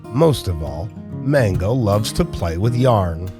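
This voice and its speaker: A man reading a children's picture book aloud in a measured, expressive storytelling style. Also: lowish voice. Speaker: storybook narrator. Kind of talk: children's read-aloud narration. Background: music with plucked guitar.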